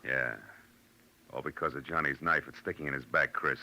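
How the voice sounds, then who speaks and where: Hard-boiled private eye, deep American voice, wry clipped slang, old radio drama recording. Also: male voice, steady tone, normal speed, sharp tone, a little hiss or hum, exaggerated voice. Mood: hard, sardonic. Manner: clipped slangy wisecracking delivery. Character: tough, wry hard-boiled private detective narrating his case. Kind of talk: 1940s radio noir acting and narration.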